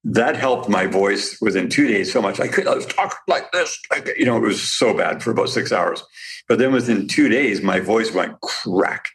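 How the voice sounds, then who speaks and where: Deep, gravelly man, slightly raspy. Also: moderate pace. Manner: rambling, animated storyteller. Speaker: guest, supplement business owner. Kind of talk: casual podcast conversation.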